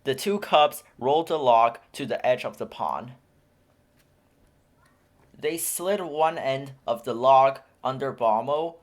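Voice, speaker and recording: A man narrating a children's picture book aloud in a steady, clear storytelling manner. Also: mid-range voice, takes it slowly. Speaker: storybook reader.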